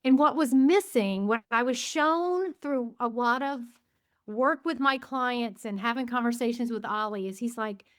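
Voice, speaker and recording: Woman in her 50s, podcast guest, bright higher voice, dry close mic, storytelling pace that surges and stalls. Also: no echo. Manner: enthusiastic storyteller, quotes herself often, speeds up and slows down. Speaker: guest, gratitude coach and author. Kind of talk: conversational podcast interview.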